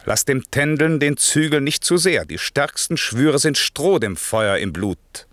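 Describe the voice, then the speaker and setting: A man's voice: mid-range voice, animated, a little slow, fairly bright tone, no echo, studio quality. An actor playing a stern, commanding old magician and father in a radio play, speaking solemn, declamatory verse. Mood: stern warning.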